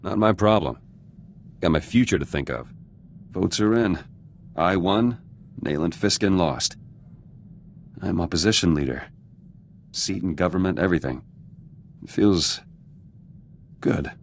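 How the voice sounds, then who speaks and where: A man's voice: sits low, animated, unhurried, vocal fry, long pauses, a little hiss or hum, heavily acted. A man playing an embittered, vengeful resistance fighter in a video game, speaking in terse, clipped fragments with dropped words. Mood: cold, resolute. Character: embittered male resistance fighter turned politician, hard and vengeful. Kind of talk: video game dramatic voice acting.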